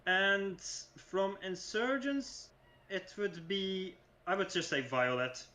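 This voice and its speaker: A man's voice: high voice, slow pace, pushed and bright. A man chatting casually and hesitantly as a panelist. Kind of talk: casual chat. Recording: dull lo-fi call audio.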